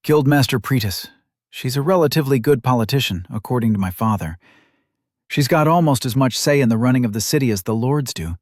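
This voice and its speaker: A man voicing a fiery, haughty young noblewoman in an audiobook, with a sharp scolding manner. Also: mid-range voice, lively intonation, a little fast, no echo, studio quality, pitched above the performer's own voice, close to an everyday voice. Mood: indignant.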